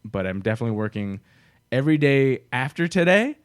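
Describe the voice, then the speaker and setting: A man's voice: mid-range voice, sing-song, a little fast, clear, resonant tone. A young man co-hosting a podcast, chatting casually and telling stories with frequent laughs. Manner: chatty storyteller, laughs often. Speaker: podcast co-host. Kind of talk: casual chat.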